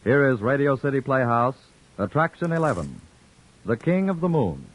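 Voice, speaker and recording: A male radio announcer giving formal, measured broadcast credits and introductions. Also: mid-range voice, big pitch swings, background noise. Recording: dull old radio broadcast with music.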